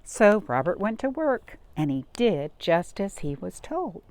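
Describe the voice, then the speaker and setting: A woman reading a picture book aloud to young children, expressive, with dramatic gasps and questions to her listeners. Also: mid-range voice, measured pace. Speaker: storytime reader.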